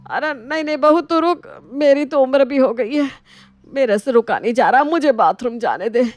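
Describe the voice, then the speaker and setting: A woman playing a fussy, dramatic older mother-in-law who complains, in an animated family story. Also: sits high, moderate pace, dry recording. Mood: urgent.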